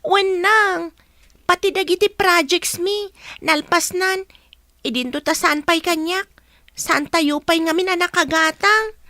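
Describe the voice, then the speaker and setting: A woman's voice: very high voice, a little slow, pushed and bright, no room sound, studio quality, exaggerated voice. A woman playing a whining, demanding schoolgirl daughter in a radio drama. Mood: demanding, upset.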